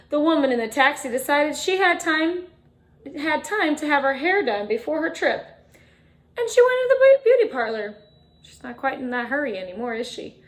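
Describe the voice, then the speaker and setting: Animated high-voiced woman reading to children. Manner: expressive, dramatic emphasis, drawn-out refrains, chatty questions to young listeners. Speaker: teacher reading a picture book aloud. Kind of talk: animated children's storytime read-aloud with asides to listeners.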